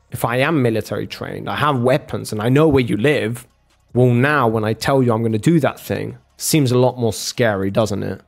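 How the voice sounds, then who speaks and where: Sarcastic guy ranting at someone, gravelly voice, calls em bro, swears a lot. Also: medium pitch. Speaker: streamer arguing with a chat viewer. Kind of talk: casual argumentative rant.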